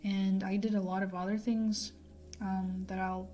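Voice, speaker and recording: A woman in her twenties giving a personal talk to camera in a halting, reflective way, often pausing mid-sentence. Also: mid-range voice, flat delivery, warm tone. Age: 20s. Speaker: vlogger sharing a personal testimony.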